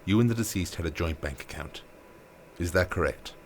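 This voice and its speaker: A man's voice: sits low, a little fast, slight background noise, lightly acted. A man playing a stern, sceptical police interrogator in an audio drama, curt and accusatory. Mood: stern, accusing.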